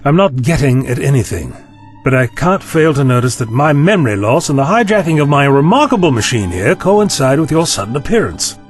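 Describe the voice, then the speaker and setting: A man's voice: medium pitch, very animated, fairly quick, faint music behind, natural voice. A man playing a courteous, wry time-travelling eccentric with lost memory, speaking formally in an audio drama. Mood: wry, suspicious. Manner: formal, wordy, inquisitive.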